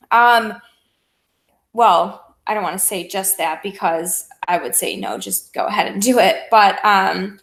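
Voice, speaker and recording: An American woman talking casually and encouragingly as a fitness coach on a group call, in an informal, conversational way. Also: mid-range voice. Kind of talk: casual motivational coaching talk.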